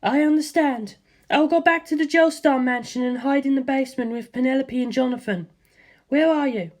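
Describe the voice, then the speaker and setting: A woman voicing a worried, gentle middle-aged mother speaking on the phone. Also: high-pitched, normal speed, voice pushed up, lightly acted. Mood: anxious. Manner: soft, anxious, loving.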